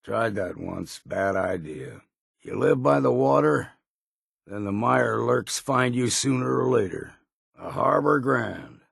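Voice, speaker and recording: An actor playing a gruff old hard-drinking hunter and guide in a video game, with a raspy voice and folksy, laconic talk. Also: male voice, mid-range voice, animated, slow, fairly bright tone, dry recording, clean studio sound, full character voice. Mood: folksy, plainspoken. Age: older. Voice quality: gravelly, raspy. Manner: folksy, dropped endings, laconic. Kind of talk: video game character acting.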